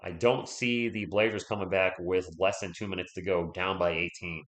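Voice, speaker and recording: A man doing casual play-by-play for his team, hoarse as his voice gives out. He chats with viewers between calls. The room around him has a noticeable echo. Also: sits low, fairly even intonation, normal speed, fairly bright tone. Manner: chatty, reads viewer comments aloud, rooting for the Spurs. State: losing his voice. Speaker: live stream play-by-play host. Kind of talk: casual fan play-by-play commentary.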